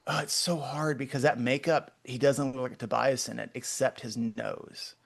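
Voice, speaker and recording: A man's voice: mid-range voice, fairly even intonation, creaky. A man in his forties from Texas with a Texan accent, a guest artist chatting casually in an interview, rambling and restarting his sentences often. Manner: rambling, halting, many restarts.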